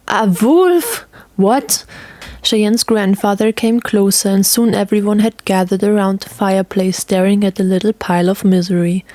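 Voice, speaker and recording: Female story narrator: medium pitch, scripted storytelling, dry studio-like sound. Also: a little mellow, no echo.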